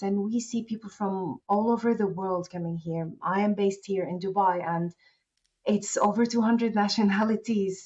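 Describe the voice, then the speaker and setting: A woman's voice: mid-range voice, a little mellow, highs cut off. A woman whose first language is Arabic, a guest founder speaking politely and thoughtfully in an interview. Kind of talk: interview conversation.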